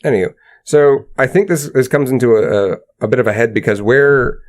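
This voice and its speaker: A man's voice: mid-range voice, brisk. A man with a lisp chatting casually as a podcast co-host.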